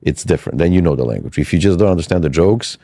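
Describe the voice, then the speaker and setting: Low, dark-voiced man. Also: racing, no room sound, studio quality. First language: Serbian. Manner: rambling, many restarts. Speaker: podcast host. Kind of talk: casual interview chat.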